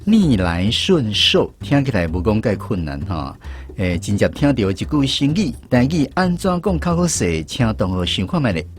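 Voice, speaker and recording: A man hosts a radio show in a low voice with a lively, swinging melody. His accent is Taiwanese, and he presents scripted segments in an announcer's role. Music plays clearly behind him. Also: moderate pace. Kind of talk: presenting a scripted radio language quiz and credits. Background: background music.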